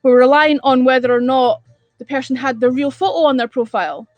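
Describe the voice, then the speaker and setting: A woman's voice: high-pitched, a little fast, a little mellow, no room sound, muffled recording. A woman from Edinburgh with a Scottish accent, hosting a casual live stream and chatting bluntly and exasperatedly with viewers, swearing freely. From Scotland. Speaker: livestream host.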